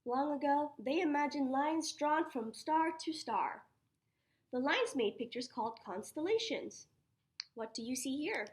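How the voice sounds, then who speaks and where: Woman teacher doing storytime for little kids, really high voice, takes it slow, upbeat and asks them questions. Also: animated. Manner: child-directed, asks questions and answers them herself. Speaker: preschool teacher reading to children. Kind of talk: read-aloud of a children's science picture book.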